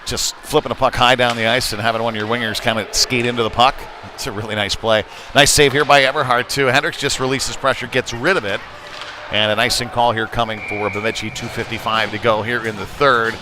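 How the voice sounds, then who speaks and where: A man calling live hockey play-by-play, mixing conversational analysis with excited surges on big plays. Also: medium pitch, fairly quick. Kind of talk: live sports commentary. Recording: noisy rink ambience behind live commentary. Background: busy ice rink noise.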